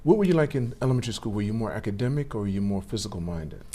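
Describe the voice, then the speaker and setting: Male podcast host, fast and husky, dark tone, rambling questions, faint music behind. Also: fairly low pitch, expressive. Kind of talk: casual interview chat.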